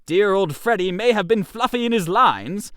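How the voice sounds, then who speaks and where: A man narrating a comic first-person story in an expressive, theatrical way. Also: high-pitched, moderate pace, clean tone, no room sound, studio quality.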